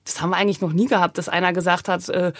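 A woman, answering conversationally as an interview guest, with frequent hesitant pauses. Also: on the low side, little pitch movement, no echo, clean studio sound. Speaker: talent agency manager, interview guest.

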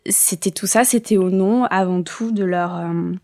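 A woman's voice: mid-range voice, unhurried, darkish tone, slight background noise. A middle-aged woman from France talking reflectively as an interview guest, with many hesitations. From France, born in Bayonne. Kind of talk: casual interview talk.

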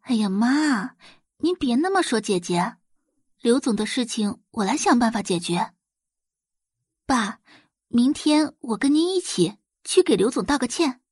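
A woman's voice: fairly high pitch, sing-song, unhurried, darkish tone, breathy edge, no room sound, clean studio sound, heavily acted. A woman voicing a sweet young daughter with a soothing, demurely reasonable manner in an audio drama. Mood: sweetly placating. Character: sweet, seemingly considerate young daughter, placating.

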